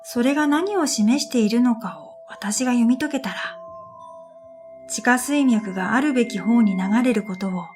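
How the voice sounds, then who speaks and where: A woman with a fairly high voice narrates an audio drama in the first person. Her delivery is scripted, reflective and measured. Music plays behind her voice. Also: a little mellow. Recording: background music under the voice.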